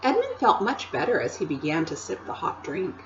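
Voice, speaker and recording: A woman teacher reading a chapter book aloud to children, with expressive storytelling and chatty asides to her listeners. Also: low-pitched, a little fast, highs cut off, a little hiss or hum.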